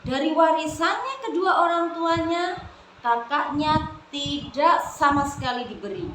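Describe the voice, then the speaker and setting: A woman tells a folk tale to young listeners, often turning to them as friends. Her voice is high and her pace slow. She sounds like she is in an echoey room with steady noise behind her. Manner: addresses listeners as friends, expressive. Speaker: storyteller for children. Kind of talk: children's storytelling.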